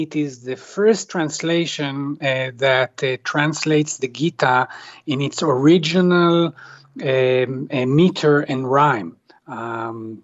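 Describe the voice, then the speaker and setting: A man whose first language is Hebrew speaks slowly and with many pauses. He fills his reflective answers with ums and uhs. The recording is dry and dull, like lo-fi audio. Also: mid-range voice, dry recording. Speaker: translator, guest. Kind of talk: hesitant interview answers.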